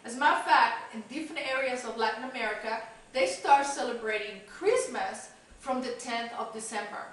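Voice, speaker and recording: An upbeat woman presents a cooking show in a chatty, casual way. Her voice sits a little high. She is recorded at a distance in a kitchen that echoes heavily. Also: fairly quick. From Mexico. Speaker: cooking show host, chef. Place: home kitchen, indoors. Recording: very echoey room, distant camera mic.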